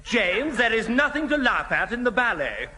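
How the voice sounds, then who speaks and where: Prim, high-voiced flustered gentleman. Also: big pitch swings, moderate pace, heavily acted. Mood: prim, fussy. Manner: prissy, polite, fussy, hesitant. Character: prim, timid, easily flustered ballet-loving gentleman. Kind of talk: 1970 radio sitcom acting. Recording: hissy old radio broadcast, reverberant theatre hall.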